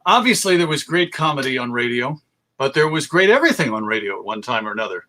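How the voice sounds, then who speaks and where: Bright, forceful man giving a lecture. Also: medium pitch, sing-song, fast talker. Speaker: presenter, radio show host. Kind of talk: informal history lecture.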